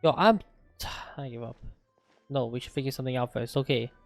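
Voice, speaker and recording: Dude gamer reacting to a game, mid-pitched, blunt and jokey slang, faint music behind. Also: male voice, a little fast, dry recording. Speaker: gaming video creator. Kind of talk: casual let's-play reactions.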